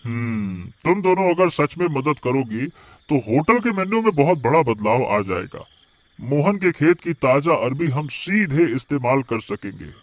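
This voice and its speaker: An actor playing an earnest, grateful village eatery owner in a Hindi cartoon story, with drawn-out interjections. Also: male voice, a little high, very animated. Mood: grateful, hopeful. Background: background music.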